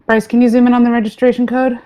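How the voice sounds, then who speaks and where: A woman's voice: fairly high pitch, fast, lightly acted. A woman playing a no-nonsense, jaded starship captain with a dry delivery in a sci-fi comedy play. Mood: businesslike. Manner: dry, deadpan.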